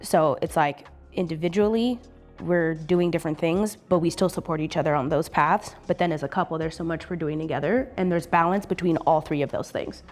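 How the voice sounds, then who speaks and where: A woman's voice: low-pitched, a little fast, faint music behind. A woman in her twenties chatting with her partner on their vlog, upbeat and earnest. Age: 20s. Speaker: co-host of couple channel. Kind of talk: casual chat.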